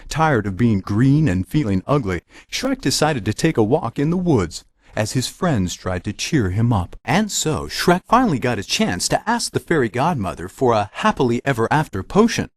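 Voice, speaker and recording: Talking magic mirror, game narrator, chatty, theatrical, highly varied intonation, droll asides, dry studio sound. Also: male voice, no room sound. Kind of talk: storybook-style video game narration.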